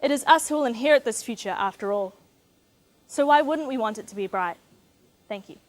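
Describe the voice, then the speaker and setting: A teenage woman from New Zealand with a New Zealand accent, giving an earnest, measured competition speech. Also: fairly high pitch, expressive, a little hiss or hum. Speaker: speech contestant.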